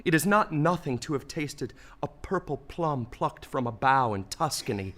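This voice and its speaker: A melodramatic man of faith facing death in a comic audio play. His voice sits high and has a forceful, bright edge. He exclaims and gushes, wholly given over to whatever he feels. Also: expressive, moderate pace. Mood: joyful wonder. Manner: melodramatic, exclamatory, wailing and gushing by turns. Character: dying man of faith in desert, theatrical, swings panic to wonder.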